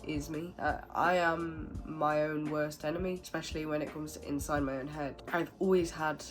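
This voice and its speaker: Low-voiced woman, nasal with a cold. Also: steady tone, moderate pace, music in the background. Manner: candid, self-critical, emphatic repetitions. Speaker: vlogger. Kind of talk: reflective personal monologue.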